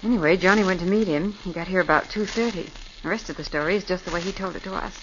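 A woman's voice: fairly low pitch, quick pace, a bit bright, close to an everyday voice. An actress playing a practical woman assistant in a 1940s radio drama, matter-of-fact and reassuring. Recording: dull old radio recording with faint hiss.

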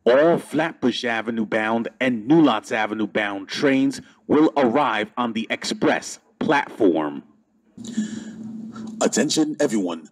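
A man reading scripted transit service announcements as an announcer, in a formal, measured manner. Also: medium pitch, breathy edge, rough recording.

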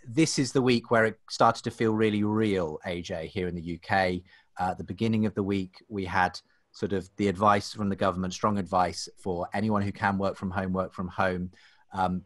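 A man's voice: fairly low pitch, a bit bright, no room sound. A man in his thirties hosting a podcast from home, chatty and full of fillers and restarts. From England. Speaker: host. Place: home room. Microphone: desk microphone, webcam.

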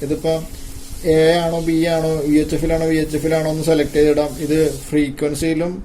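A man giving an informal hands-on gadget demonstration, haltingly and repeating his phrases. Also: fairly high pitch, completely flat delivery, mellow, a little hiss or hum, poor audio. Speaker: tech video presenter.

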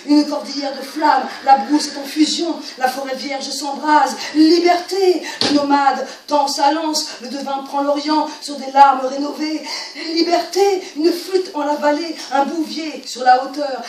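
A woman reciting lyric poetry in a solemn, emphatic, incantatory style of dramatic declamation. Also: sits high. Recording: roomy, distant mic, faint noise.

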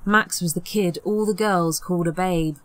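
Female audiobook narrator for horror fiction, lower register, dry studio-like sound. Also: normal speed, no room sound. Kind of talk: scripted horror story narration.

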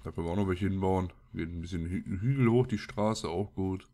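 A man's voice: deep voice, brisk, dark tone. A man with a cold and a scratchy throat, giving casual, colloquial gameplay commentary as he mutters to himself. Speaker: let's play host.

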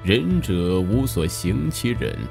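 An actor playing a pompous, flattering court official, declaiming in a historical audio drama. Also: male voice, lowish voice, slow pace, gravelly, faint music behind, full character voice. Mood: fawning.

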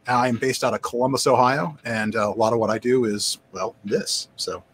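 An older man, hosting a casual live stream in a halting, self-deprecating way. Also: mid-range voice, fairly even intonation, fairly bright tone, a little hiss or hum. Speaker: host, developer advocate. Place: indoor home room, on a video call.

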